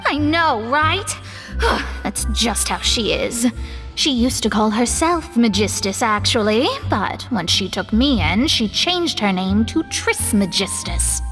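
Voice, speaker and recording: A woman's voice: on the high side, lively intonation, slow pace, music in the background. A woman voicing a proud, studious young female astrologer in a video game, precise and slightly formal with wry asides. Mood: proud, explanatory.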